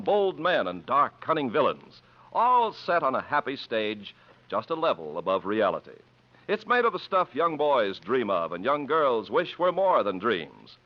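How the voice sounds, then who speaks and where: A male announcer from the golden age of radio presents a play with very wide, swooping intonation. His phrasing is deliberate and theatrical, and the recording is dull and old. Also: medium pitch. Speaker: radio drama announcer and host. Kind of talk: 1950s scripted radio introduction.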